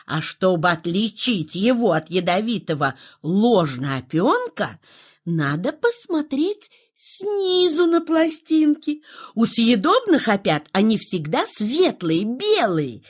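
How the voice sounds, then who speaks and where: A woman voicing a knowing, bossy motherly animal in a children's audio play, expressive and instructive. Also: fairly high pitch, takes it slowly, breathy edge, exaggerated voice. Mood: patient, instructive.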